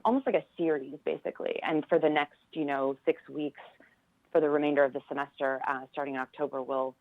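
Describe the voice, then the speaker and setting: A woman's voice: sits low, no echo, narrow, tinny audio. An American woman speaking earnestly and reflectively as a guest in a radio interview, over a band-limited line. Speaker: theater professor, interview guest.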